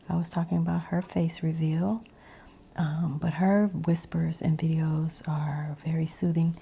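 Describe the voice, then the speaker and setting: Low, creaky woman whispering slow ASMR. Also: a little hiss or hum. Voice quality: breathy whisper mixed with low, creaky soft speech. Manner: slow, hushed, meandering. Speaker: ASMR video creator. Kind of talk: ASMR, whispered and soft-spoken rambling.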